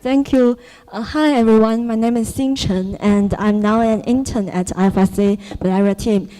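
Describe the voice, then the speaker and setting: A woman's voice: on the high side, steady tone, normal speed, dark tone, low-quality recording. A woman from China, an intern, introducing herself tentatively with fillers at a meeting. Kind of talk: brief self-introduction at a meeting.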